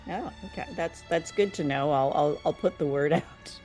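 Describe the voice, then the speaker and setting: A woman co-hosting a conversational review show, speaking in measured, hesitant phrases. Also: deep voice, a little mellow, soft background music. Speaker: podcast co-host. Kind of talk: conversational radio drama review.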